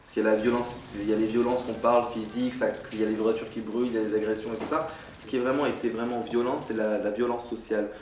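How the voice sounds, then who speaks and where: A man's voice: fairly low pitch, level tone, fast talker, a little mellow, a little hiss or hum. A young man from France giving an interview, insistent and hesitant, with many fillers. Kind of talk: earnest interview testimony. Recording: roomy, distant mic, music behind.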